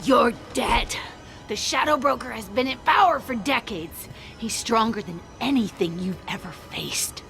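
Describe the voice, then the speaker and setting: A woman voicing a ruthless, scornful female alien secret agent in a cinematic video game, speaking forcefully. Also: on the high side, expressive, unhurried, vocal fry, faint music behind, exaggerated voice. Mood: angry, defiant.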